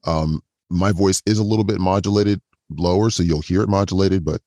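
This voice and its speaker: A man with a voice modulated lower, chatting as a podcast guest in a calm, inviting way, often restarting his sentences. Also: fairly quick, dry recording, clean studio sound. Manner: chatty, with frequent restarts and repetitions. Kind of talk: casual interview chat.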